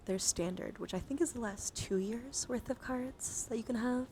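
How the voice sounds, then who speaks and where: A woman hosting an ASMR livestream, chatting softly and often slipping into a whisper with careful, deliberate enunciation. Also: fairly high pitch. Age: adult, over 18. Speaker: streamer host. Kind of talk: soft ASMR livestream chat. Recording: noticeable background noise.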